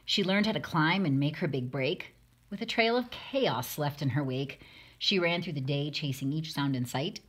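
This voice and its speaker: A woman's voice: sits low, expressive, moderate pace, breathy edge. A woman reading a rhyming children's picture book aloud, expressively, with dramatic emphasis. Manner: dramatic emphasis, acting out lines. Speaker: storybook reader.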